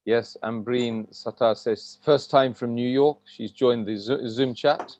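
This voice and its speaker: Host reading comments on a dull call. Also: male voice, mid-range voice, fairly even intonation, unhurried, a little mellow, slight background noise. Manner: upbeat, reads out viewer comments and announcements. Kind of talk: live online class hosting. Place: home room. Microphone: video call.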